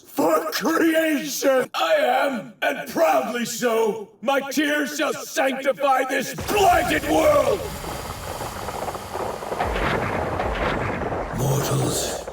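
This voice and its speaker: A man's voice: a little high, creaky, recorded from a distance, slight background noise, exaggerated voice. A man voicing an arrogant, fanatical god villain who scorns mortals, declaiming pompously and grandly in a theatrical anime dub style. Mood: exultant, menacing. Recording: echoey clips with leftover sound effects.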